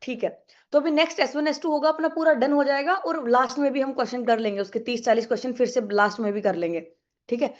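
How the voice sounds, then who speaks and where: A woman's voice: high-pitched, fast, muffled recording. A young woman teaching an online exam-prep English class with a sore throat, chatty and coaxing, mixing English into her Hindi.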